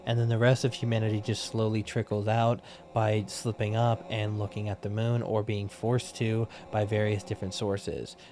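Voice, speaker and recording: A man's voice: lowish voice, flat delivery, moderate pace, a little mellow. A young man giving a scripted countdown commentary in a casual, blunt way, swearing now and then for emphasis. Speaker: YouTube commentator.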